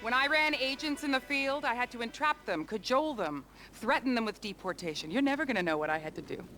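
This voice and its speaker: An actress playing a scornful, hard-bitten intelligence boss in a video game, sardonic and condescending. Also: female voice, a little high, animated, fast, bright, forceful tone, soft background music. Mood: contemptuous. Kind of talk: video game cutscene acting.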